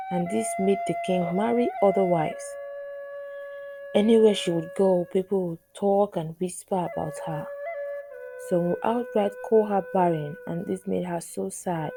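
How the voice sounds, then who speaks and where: A woman storyteller reading a scripted folktale in measured, separate phrases. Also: fairly low pitch. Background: flute music.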